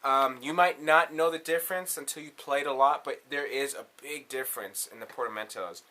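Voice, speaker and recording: A man's voice: mid-range voice, fairly quick, pushed and bright. A man presenting a casual step-by-step synthesizer tutorial in an instructional way, often checking in with the listener.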